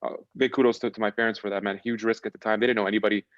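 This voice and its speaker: Fast-talking low-voiced young man. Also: no echo, dull audio. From Lebanon. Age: young adult. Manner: relaxed, chatty, frequent restarts. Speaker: podcast guest. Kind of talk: casual chat.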